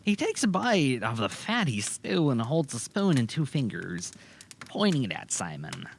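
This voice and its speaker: A man's voice: mid-range voice, huge pitch swings, unhurried, creaky, slight background noise. A man narrating a scripted amateur audio drama, describing the action in the present tense.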